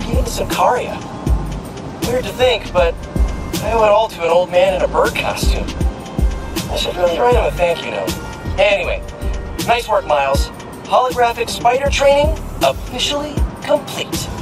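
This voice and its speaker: An actor playing a wry, good-humoured young superhero mentor in a video game, narrating conversationally. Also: male voice, high-pitched. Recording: loud game music over the voice.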